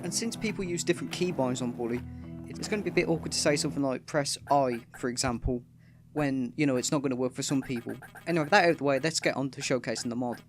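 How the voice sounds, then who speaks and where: A man's voice: medium pitch, fast talker, soft background music. A man hosting a gaming video with casual, explanatory commentary.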